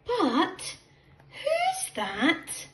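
Woman as surprised, high-pitched storybook animal. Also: wildly swooping pitch, very slow, fairly bright tone, reverberant, heavily acted. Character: surprised storybook animals scolding intruders in their beds.

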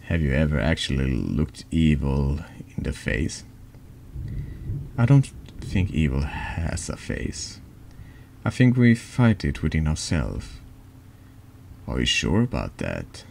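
A deep-voiced man reading horror game dialogue aloud in an ASMR-like style, halting and stumbling over words now and then. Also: big pitch swings, vocal fry, a little mellow, slight background noise. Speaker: streamer reading a text adventure game aloud. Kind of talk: slow narrated read-aloud of horror story dialogue, ASMR-like.

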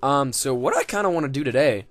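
A man's voice: mid-range voice, animated, quick pace, a bit bright, dry recording. A young man casually commenting on his game, rambling and joking with lots of swearing and fillers. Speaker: gaming video host.

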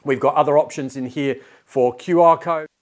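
A man's voice: a little high, fairly even intonation, normal speed. Middle-aged man giving an explanatory product demo. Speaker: tech product presenter. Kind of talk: informal product demonstration to camera. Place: small indoor studio room. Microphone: lapel mic.

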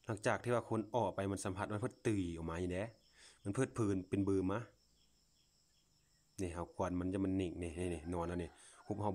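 Deep-voiced Lao man vlogging casually. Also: a little mellow. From Laos. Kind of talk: casual unscripted commentary to viewers while filming. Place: outdoors, at a grassy patch.

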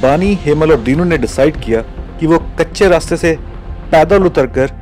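A man's voice: fairly high pitch, moderate pace, darkish tone. Man narrating a road trip over music. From India. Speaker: travel vlogger narrating. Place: voice-over, not recorded on location. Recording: music under the voice.

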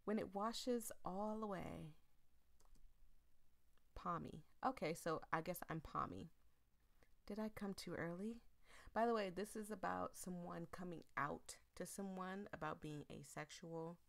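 A woman doing a casual let's-play, reading a visual novel aloud in a chatty, expressive way and breaking off into asides. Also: fairly low pitch, a little slow, gravelly. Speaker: video game streamer.

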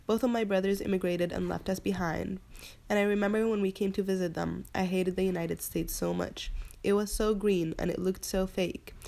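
A young woman from Palestine, a student, narrating her own immigration story in a quiet, reflective way. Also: medium pitch, fairly even intonation, normal speed, vocal fry. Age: young adult. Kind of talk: personal narration.

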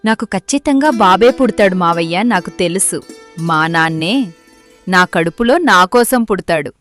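A woman playing a greedy, scheming young daughter-in-law who talks persuasively in an animated moral story. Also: on the low side, moderate pace, soft background music. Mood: happy, assured. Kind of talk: acted dialogue in a Telugu moral story.

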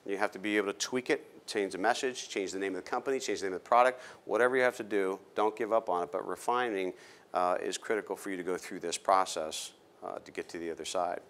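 A man's voice: sits low, steady tone, fast, fairly bright tone. A man in his fifties with an American accent giving an informal business lecture in a direct, emphatic coaching manner, on a lapel mic in a small room. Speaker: startup founder giving a talk. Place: small indoor meetup room.

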